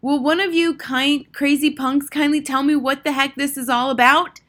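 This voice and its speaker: A woman's voice: on the high side, sing-song, normal speed, bright, forceful tone, voice pushed up, full character voice. A woman voicing a bratty, greedy rich schoolboy in a children's storybook, rude, demanding and indignant.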